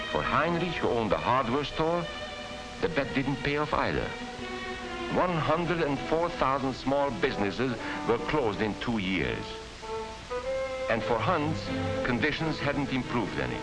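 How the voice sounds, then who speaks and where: Solemn 1940s film narrator over loud music. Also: male voice, fairly low pitch, low-quality recording. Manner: solemn, emphatic storytelling.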